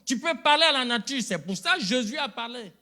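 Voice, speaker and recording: High, bright preaching man. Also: lively intonation, a little fast. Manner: call-and-response preaching, rising to loud emphatic peaks. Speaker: pastor. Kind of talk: sermon. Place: church.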